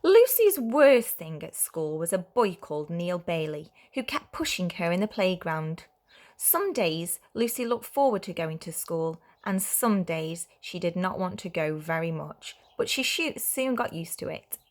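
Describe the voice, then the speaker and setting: A woman reading a children's story aloud as a teacher would, in a clear, expressive way for young listeners. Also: on the low side, measured pace.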